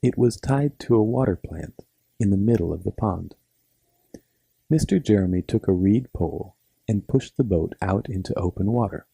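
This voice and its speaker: Very dark-voiced male storyteller. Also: on the low side, normal speed, gravelly, breathy edge, no room sound, poor audio. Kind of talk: scripted children's bedtime story narration.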